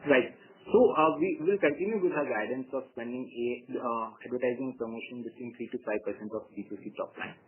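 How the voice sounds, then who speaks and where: A man answering as a company executive on an earnings call, measured and often hesitating. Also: mid-range voice, a little hiss or hum. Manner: halting, measured, frequent long hesitations. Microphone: telephone conference call. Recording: narrow tinny phone conference line.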